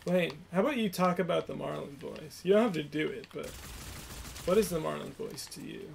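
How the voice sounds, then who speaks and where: A man's voice: on the high side, lively intonation, fairly quick, vocal fry. A young man hosting a livestream, chatting in a rambling, self-deprecating way while playing a game. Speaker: livestream host and voice actor. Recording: noisy livestream audio.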